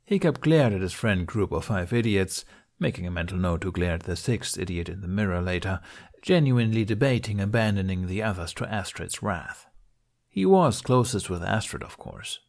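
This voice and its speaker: A man with a fairly low voice narrates a story. He reads in an expressive audiobook style, telling fan fiction as scripted prose. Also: normal speed.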